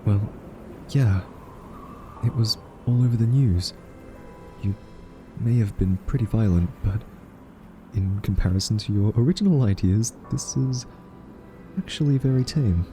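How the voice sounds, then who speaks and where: Man acting a slow, soft-spoken nervous scientist. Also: lowish voice, soft background music, lightly acted. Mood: relieved, cautious. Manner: nervous, stammering, hesitant, awkwardly polite. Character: timid, quirky male scientist held captive by a rogue AI. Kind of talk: audio roleplay acting addressed to listener.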